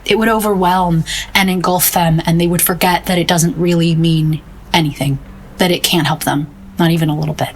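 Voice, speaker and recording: Woman acting self-doubting painter, lowish voice. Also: fairly even intonation, fairly quick, slight background noise, close to an everyday voice. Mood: bitter self-doubt. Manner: wry, earnest, self-deprecating. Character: self-doubting, wry, intense female fine arts painter. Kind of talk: naturalistic audio drama acting.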